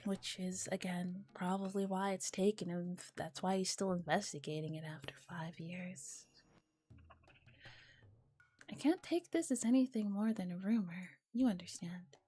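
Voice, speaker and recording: A woman streamer softly reading a visual novel aloud in a relaxed ASMR style and chatting casually with her viewers. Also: fairly low pitch, a little slow, breathy edge. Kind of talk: soft ASMR reading with casual chat and commentary. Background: music.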